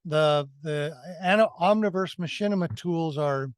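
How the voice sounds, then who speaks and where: A man co-hosting a review discussion, explaining his views casually and haltingly, often repeating and correcting himself. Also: fairly high pitch, clean tone, no echo, studio quality. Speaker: podcast co-host reviewing films.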